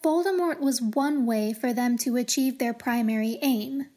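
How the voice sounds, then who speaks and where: High-pitched woman hosting a podcast. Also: normal speed, dark tone. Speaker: podcast host. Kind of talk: scripted commentary on a fantasy book series.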